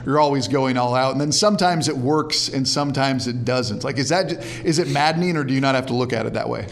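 A man's voice: medium pitch, quick pace, gravelly. A man hosting a sports show, interviewing in a conversational, enthusiastic way.

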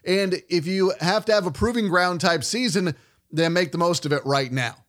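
Man, fairly high voice, sports show host, fluent broadcast delivery, conversational commentary. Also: normal speed, a bit bright.

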